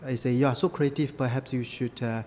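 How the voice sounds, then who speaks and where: A man from Malaysia whose first language is Chinese, preaching a sermon with personal stories in halting English full of fillers. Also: medium pitch, steady tone, darkish tone, big-room sound. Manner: halting, many fillers, non-native English phrasing.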